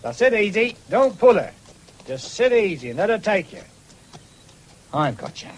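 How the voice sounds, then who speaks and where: A man's voice: high-pitched, big pitch swings, moderate pace. An actor playing a young horseman, blunt and mocking, in a radio drama. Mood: coaxing. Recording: hissy 1970s radio drama recording.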